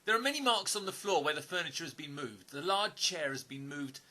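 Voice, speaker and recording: A man acting in an audio play as an observant stranger with a hidden past, speaking in an earnest, deliberate, sometimes hesitant way. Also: fairly high pitch, expressive, bright, forceful tone. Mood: challenging.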